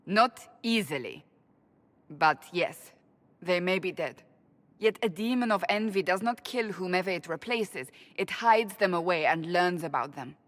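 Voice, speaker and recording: An actress playing a stern, earnest woman warrior of a holy order in a fantasy game, speaking in a formal, deliberate way. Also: fairly low pitch, animated, fairly bright tone. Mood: brooding, analytical. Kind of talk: dramatic fantasy game dialogue.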